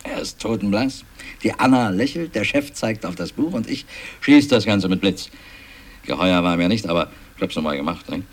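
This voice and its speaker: An actor in a radio drama playing a film company cameraman who recounts events in a halting, colloquial, chatty way. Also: on the low side, animated. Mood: chatty recounting. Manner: halting, colloquial, repeats and restarts phrases. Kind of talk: 1960s German radio drama, acted eyewitness account.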